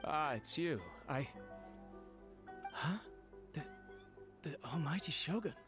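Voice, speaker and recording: A man's voice: a little high, slow. A man playing an anxious, deferential young servant in a game, stammering and humbly polite. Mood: nervous, apologetic. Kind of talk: dramatic scripted game dialogue. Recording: background music.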